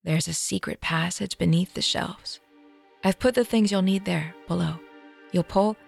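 A woman whispering as a calm, wise old fanged aunt. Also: on the low side, moderate pace, faint music behind. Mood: tender. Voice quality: hushed and breathy. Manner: soft, steady, soothing.

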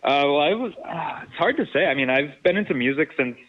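A man's voice: on the high side, animated, fairly quick. Man on a tinny remote call. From Rhode Island. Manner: thoughtful, searching for words, many fillers. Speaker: guest, sound engineer and producer. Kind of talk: casual interview chat.

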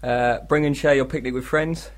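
A man's voice: medium pitch, steady tone, moderate pace. An English man co-hosting a radio show with casual, comedic, grumbling banter in a studio. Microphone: studio microphone.

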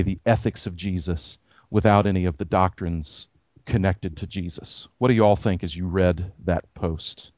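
A man co-hosting a casual podcast chat as a pastor, soft-spoken and halting, with many fillers and restarts. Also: lowish voice, no room sound. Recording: dry, dull lo-fi sound.

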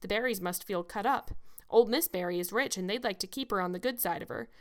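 A woman's voice: mid-range voice, quick pace, natural voice. Storybook role: older village busybody, played by a woman narrator, blunt, folksy, sure of her own judgment. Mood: gossipy, knowing. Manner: folksy, sage, scolding. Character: blunt, opinionated, gossipy older village matron.

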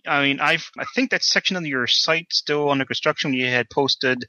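A male podcast host with a very bright, sharp-edged voice asks casual questions in a halting, rambling way. The recording is dry and somewhat dull. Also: on the high side, no echo. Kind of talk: casual interview chat.

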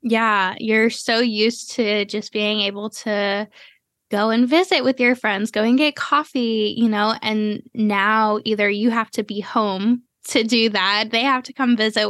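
A woman hosting a podcast in casual interview chat, talking quickly with lots of fillers. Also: fairly high pitch, fairly bright tone, no room sound, clean studio sound. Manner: chatty, quick, with frequent "you know" fillers. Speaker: podcast host.

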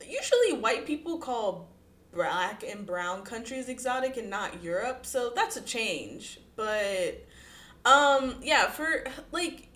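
A woman's voice: a little high, big pitch swings, takes it slowly, a bit bright, roomy sound. A woman co-hosting a podcast, giving blunt, sassy, exasperated commentary in casual chat. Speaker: podcast co-host. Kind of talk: casual chat reacting to a post.

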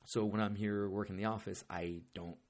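A man hosting a casual solo podcast, hoarse and talking in a rambling, heavily profane way with frequent restarts. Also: lowish voice, fairly even intonation, moderate pace, darkish tone. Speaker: podcast host.

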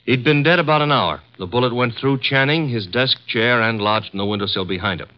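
An actor playing a breezy, brisk male assistant detective in a 1950s radio drama. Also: medium pitch, natural voice. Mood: briefing. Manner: breezy, brisk, matter-of-fact. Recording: dull old radio broadcast.

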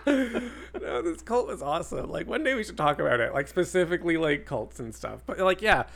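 A bright, lively co-host in a casual podcast chat. The voice swoops up and down, fills every sentence with "like", and breaks into loud bursts of laughter. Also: mid-range voice, moderate pace, creaky. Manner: giggly, heavy use of "like", bursts of loud laughter.

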